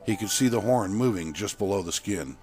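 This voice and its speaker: A man's voice: fairly low pitch, lively intonation, a little fast, a little mellow. A man narrating a scripted short story, with music playing behind him. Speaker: author and narrator.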